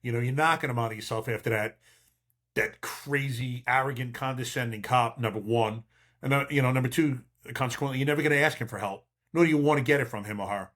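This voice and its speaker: An older man with a mid-pitched voice talks like a seasoned cop hosting a podcast. He gives casual advice in a blunt, emphatic, streetwise way. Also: fast, a bit bright. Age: 50s or older. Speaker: podcast host, veteran police officer.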